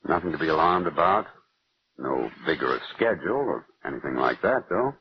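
A man playing a serious, calm family doctor giving measured advice in 1940s radio drama style. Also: very deep voice, slight background noise. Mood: reassuring. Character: sober male family doctor, calm and advising. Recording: muffled vintage 1940s radio recording.

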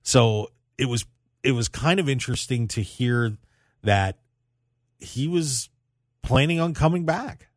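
A man hosting a casual sports talk radio show in an animated, emphatic way with dramatic pauses. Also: mid-range voice, no echo, studio quality. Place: radio studio.

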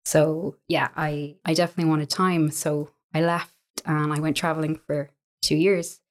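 An Irish woman in her thirties, a business owner chatting as an interview guest, relaxed and full of little fillers and restarts. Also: deep voice, normal speed. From Ireland. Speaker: guest, co-owner of a coworking space. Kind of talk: relaxed conversational storytelling.

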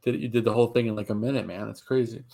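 A man's voice: mid-range voice, steady tone, rapid-fire, gravelly, warm tone. A man hosting a sports podcast, joking around as he runs the segments, sniffling. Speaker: podcast host. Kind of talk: casual sports chat.

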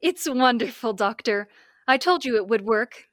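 A woman's voice: on the high side, animated, normal speed, fairly bright tone, no echo, clean studio sound. A young woman playing a spirited, curious space soldier in old-time radio serial style, with a perky, inquisitive manner. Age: young adult. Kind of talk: 1930s radio serial acting.

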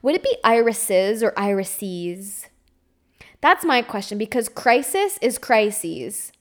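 A woman in her twenties talks through a personal story in a relaxed, candid way. Her voice sits at an ordinary middle pitch, and she keeps things loose with fillers and small laughs as she goes. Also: takes it slowly. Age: 20s. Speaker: podcast host. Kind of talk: casual storytime monologue.

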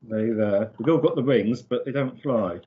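A man's voice: medium pitch, moderate pace, phone-quality sound, slight background noise. A man co-hosting a podcast with a casual book recap, hesitant and often searching for words. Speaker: podcast co-host.

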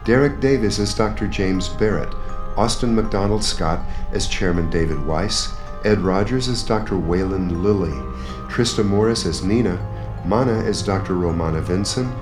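Deep male radio announcer over guitar music. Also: moderate pace. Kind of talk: scripted radio theater credits announcement. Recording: roomy, echoing sound. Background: guitar theme music.

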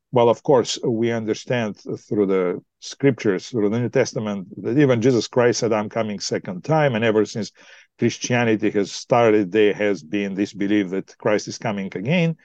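A man with a Bulgarian accent, a pastor explaining his church thoughtfully in a podcast interview, pausing often to find words. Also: fairly low pitch, mellow, no echo. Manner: explanatory, thoughtful, frequent hesitations. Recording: dry, dull lo-fi sound.

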